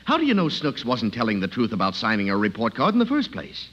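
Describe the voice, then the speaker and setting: An actor playing a teasing, wry lawyer friend in a 1950s radio comedy. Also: male voice, mid-range voice, wildly swooping pitch, fast talker, a bit bright, a little hiss or hum. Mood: amused. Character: amused, teasing lawyer pal of the father. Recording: dull old radio recording.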